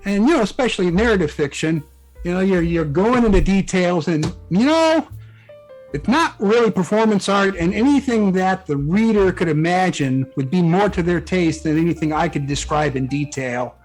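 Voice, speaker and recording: A man's voice: high voice, expressive, normal speed, darkish tone, poor audio. A man speaking as a guest author in a casual interview, explaining things conversationally. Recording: background music. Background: music under the talk.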